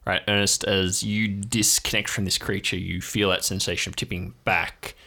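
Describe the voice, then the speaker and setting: A man's voice: sits low, little pitch movement, moderate pace, pushed and bright. A man narrating an improvised audio drama as game master, describing scenes atmospherically in the second person.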